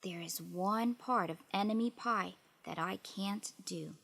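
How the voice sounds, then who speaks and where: A woman voicing a knowing, calmly secretive father in a children's storybook, with measured, persuasive delivery. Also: mid-range voice, gravelly. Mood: quietly serious.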